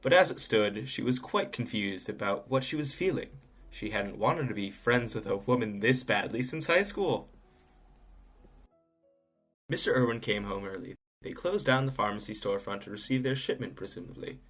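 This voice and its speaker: Wry male narrator over soft music. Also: medium pitch, animated, normal speed, slightly rough. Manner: wry, knowing storytelling. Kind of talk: scripted audio drama narration. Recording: music behind the voice.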